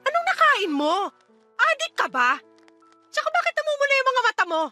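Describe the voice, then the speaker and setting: A woman's voice: extremely high pitch, sing-song, normal speed, sharp tone, no room sound, heavily acted. A woman playing a callous, scornful mother in a radio drama, scolding and sarcastic as she sides with her lover. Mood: scolding, irritated. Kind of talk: Tagalog radio drama acting. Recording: dramatic music under the dialogue.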